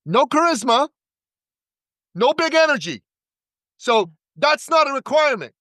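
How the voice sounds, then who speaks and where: A man talking as a podcast guest and business coach, giving an energetic pitch and repeating words for emphasis. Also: measured pace, dry recording, studio quality.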